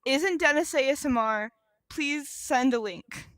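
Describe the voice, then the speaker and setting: A woman with a fairly high voice chats casually as a podcast co-host. She rambles and jokes, drifting into tangents. The sound is dry, with very little room to it. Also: measured pace, a bit bright, dry recording. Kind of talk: casual, joking podcast chat.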